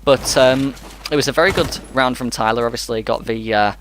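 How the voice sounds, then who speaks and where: Man giving rapid esports commentary. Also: medium pitch, slight background noise. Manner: rapid, energetic running commentary. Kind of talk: play-by-play game commentary.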